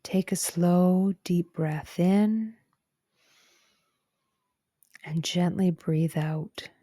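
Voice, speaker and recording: Slow, soft woman guiding meditation. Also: fairly low pitch, no echo, studio quality. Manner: gentle, calm, soothing, soft breathy phrase endings. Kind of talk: guided meditation narration.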